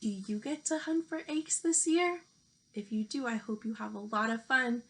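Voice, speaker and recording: A woman teacher reading a children's picture book aloud, speaking deliberately to young listeners. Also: a little high. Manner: slow, deliberate delivery addressed to young children. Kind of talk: children's storybook read-aloud.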